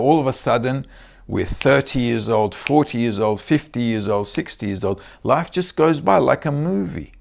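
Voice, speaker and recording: A man's voice: mid-range voice, vocal fry. A man with a Turkish accent giving a casual lecture over a video call, often checking in with his listeners. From Turkey.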